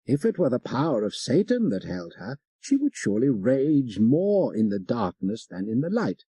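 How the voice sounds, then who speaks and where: A man voicing a fervent French missionary priest telling his tale with emphatic, ironic pauses, as in a dramatic audiobook. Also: mid-range voice, wildly swooping pitch, mellow, breathy edge, dry recording. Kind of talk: dramatic first-person storytelling in an audiobook.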